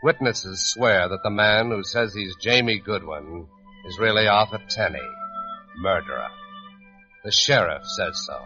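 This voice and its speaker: A man's voice: lowish voice, sharp tone. A man delivering dramatic scripted narration for a 1950s radio drama. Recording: dull lo-fi old radio recording.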